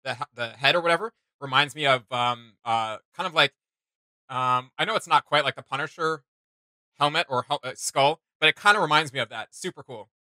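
A man's voice: mid-range voice, a little fast, bright, forceful tone, dry recording. A man in his thirties hosting a casual reaction chat, enthusiastic and slangy, recorded in a small home room. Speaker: reaction video host.

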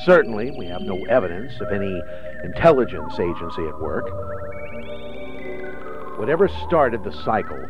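An actor playing a regretful alien commander in a radio drama, speaking in a measured, formal, reflective way. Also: male voice, on the low side, very animated, lo-fi sound, music in the background. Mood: solemn, detached. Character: regretful male alien commander recording his world-ending mission.

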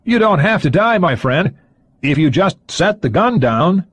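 A tense American man in a standoff played by a man, urgent and coaxing. His voice is pitched up and a little husky, with a dry close sound. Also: sing-song, measured pace, a bit bright, no echo, exaggerated voice.

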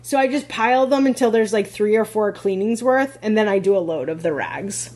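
A woman's voice: medium pitch, expressive, a little fast, darkish tone. A woman co-hosting a podcast, talking casually and chattily with lots of fillers and small laughs. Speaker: podcast co-host, rental host.

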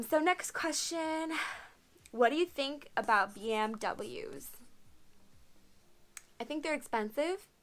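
A woman's voice: on the high side, sharp tone. Chatty young woman vlogging from her bedroom. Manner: chatty, frequent "um" and "like", laughs often. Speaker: car vlogger answering viewer questions. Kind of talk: casual Q&A vlog. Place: small bedroom, indoors.

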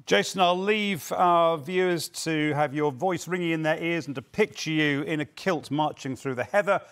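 Higher-voiced male TV sports presenter. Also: normal speed, a bit bright. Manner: crisp, polished link-reading. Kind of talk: scripted TV presenting.

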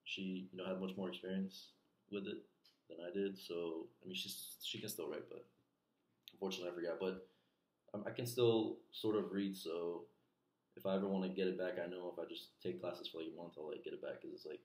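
A man's voice: sits low, steady tone, brisk, darkish tone. A young man from Iran whose first language is Farsi, answering interview questions in a hesitant, casual way with many fillers, recorded on a laptop in a small bedroom. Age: young adult. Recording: echoey room.

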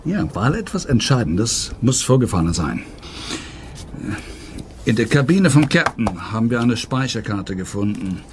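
An actor playing a brisk police officer in a radio drama, explaining eagerly in slightly hesitant, foreign-sounding phrasing. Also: male voice, mid-range voice, sing-song, background noise.

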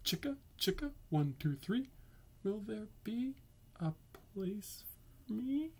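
A man's voice: sits high, very animated, slow, darkish tone, husky, voice pushed up, heavily acted. A man voicing a small, worried, childlike number zero in a plaintive singsong chant for a storybook. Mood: hopeful. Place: small indoor room.

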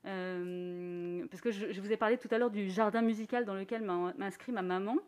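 A woman musician speaking in a thoughtful, earnest way during a radio conversation, sometimes laughing softly. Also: medium pitch, fairly even intonation, normal speed, smooth. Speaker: musician guest. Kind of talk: reflective conversation.

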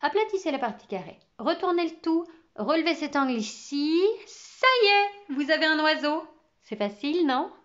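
A woman's voice: high voice, animated, normal speed, fairly bright tone, lo-fi sound, voice pushed up. A woman reading a children's storybook, voicing a cheerful, exuberant, eccentric female teacher with sing-song, enthusiastic exclamations.

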